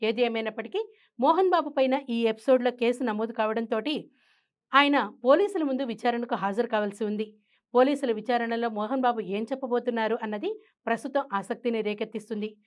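A woman presenting scripted news commentary. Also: on the high side.

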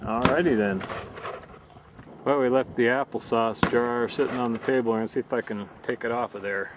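A man with a mid-pitched voice narrates in a plain, matter-of-fact way, as if running through tests at a range. The recording is noisy and outdoors, with the clatter of the open air around him. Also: fairly even intonation, normal speed. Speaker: channel host. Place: outdoor shooting range, partly in a car.

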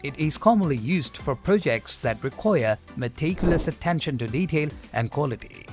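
A man narrating a scripted explainer video in an even, polished delivery without fillers. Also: on the high side, normal speed, faint music behind. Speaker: channel narrator.

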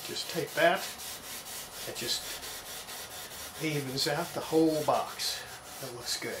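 A woman's voice: low voice, takes it slowly. An older woman gives casual, matter-of-fact hands-on narration, talking while she works as a restorer demonstrating repairs. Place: indoor home workshop. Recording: roomy workshop, loud sanding and rubbing noise.

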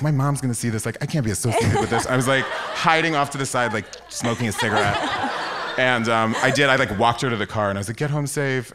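A man casually telling a rambling, gossipy story as a podcast guest on a theatre stage. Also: medium pitch. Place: on stage in a theatre before an audience. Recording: noisy live theatre recording.

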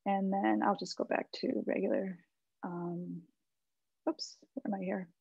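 Creaky-voiced American woman, encouraging advisor. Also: mid-range voice, very animated, measured pace, darkish tone, dry recording. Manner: encouraging, conversational, thinking aloud. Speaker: webinar presenter, website marketing consultant. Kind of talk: informal website critique and advice. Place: small indoor room with bookshelves. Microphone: computer mic on a video call. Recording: slightly dull video-call audio.

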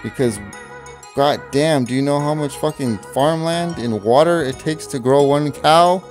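A man's voice: a little high, unhurried. A man talking off the cuff, rambling and swearing, with a voice-over over music. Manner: profane, rambling, laughs at own jokes. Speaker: vlogger. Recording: voice over loud background music.

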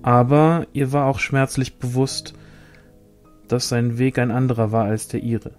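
A man reading a fantasy novel aloud as scripted narration, in a measured storytelling manner. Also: medium pitch, fairly even intonation, a little mellow, faint music behind. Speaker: audiobook reader.

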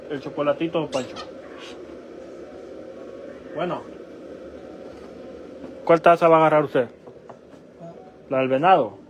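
A man hosting a casual livestream, chatting in short coaxing questions and instructions. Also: fairly high pitch. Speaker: livestream host. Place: small house kitchen and living room, indoors. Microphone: handheld phone. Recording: noisy handheld phone in a kitchen.

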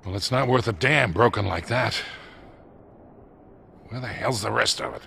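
A man acting a gruff, sardonic older American mentor in a video game, with a gravelly voice and folksy manner. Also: mid-range voice, normal speed, a little hiss or hum. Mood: grumbling. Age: older, about 60. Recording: loud game soundtrack music behind.

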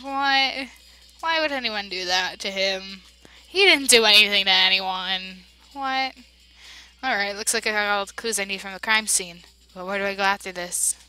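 A woman playing a game, reading its dialogue aloud and reacting with big emotional swings between loud outbursts and hushed narration. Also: mid-range voice, low-quality recording. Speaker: let's play voice-over player.

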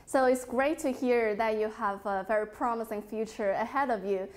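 A young woman hosting a TV interview, asking questions smoothly and prompting her guest. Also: a little high, moderate pace. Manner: smooth, prompting, with short mm-hmm backchannels. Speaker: TV interviewer, host.

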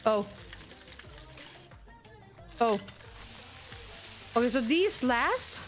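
A young Danish woman with a Danish accent, streaming a game and commenting in an animated, ranting way, reading lines aloud as she plays. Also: a little high, extremely slow, soft background music. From Denmark. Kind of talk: let's play commentary.

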